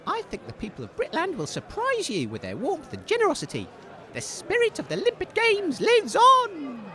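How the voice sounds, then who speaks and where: A man playing a flustered, cheery wasteland radio host in a comedy broadcast, with an excitable, stammering manner. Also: very high voice, moderate pace, exaggerated voice. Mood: cheerful, earnest.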